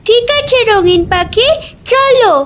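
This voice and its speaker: A woman's voice: very high voice, takes it slowly, a bit bright, slight background noise, exaggerated voice. A woman voicing a kind, innocent little village girl in a cartoon story, speaking in a sweet, earnest, childlike way. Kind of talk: Bengali cartoon story dubbing.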